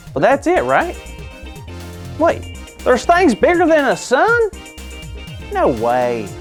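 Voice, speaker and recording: A woman doing a bubbly kids' show host man. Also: lowish voice. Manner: bubbly, over-the-top enthusiasm. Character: cheery, easily excited man hosting a kids' show. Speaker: host of a children's Bible show.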